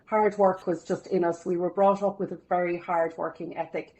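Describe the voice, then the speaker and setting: A woman's voice: on the low side, level tone, normal speed, a little mellow. A woman, telling her life story as a podcast guest in a chatty, upbeat way with self-deprecating humour. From Ireland. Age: 40s. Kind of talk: casual interview storytelling.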